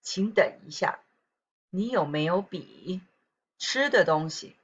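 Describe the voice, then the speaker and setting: A woman teacher with a southern Taiwanese accent and a low, husky voice. She reads practice sentences slowly and evenly and breaks off for relaxed remarks to students, on a dull lo-fi recording. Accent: southern Taiwanese Mandarin. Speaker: Chinese language teacher. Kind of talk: recorded pronunciation lesson.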